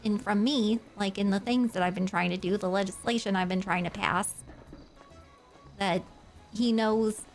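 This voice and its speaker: A woman's voice: medium pitch, steady tone, moderate pace, soft background music, lightly acted. A woman playing a female governor facing corruption charges, talking earnestly in live roleplay, with a hopeful, rambling manner. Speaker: game character.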